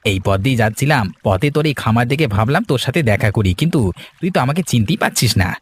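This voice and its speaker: A man's voice: medium pitch, animated, fast, husky. A man voicing a scheming villager who poses as a helpful friend in a cartoon folk tale, with a sly, overfamiliar, wheedling manner. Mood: overfamiliar, prying. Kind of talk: cartoon fairy-tale dialogue.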